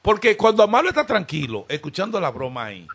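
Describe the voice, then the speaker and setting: A man's voice: high voice, wildly swooping pitch, fast, fairly bright tone, slightly rough. A man with a Dominican accent, a prank call comedian, talking teasingly on the phone.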